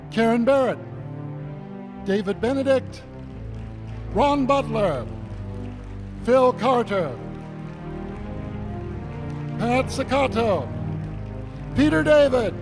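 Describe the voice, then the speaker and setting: A man working as an announcer, reading in a scripted, formal announcement style. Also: extremely high pitch. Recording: live stage recording, music behind.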